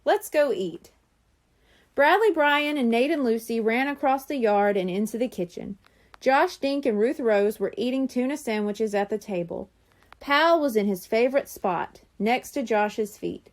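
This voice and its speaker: A woman narrating a children's storybook in an animated, scripted reading style. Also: fairly high pitch, normal speed. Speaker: audiobook reader.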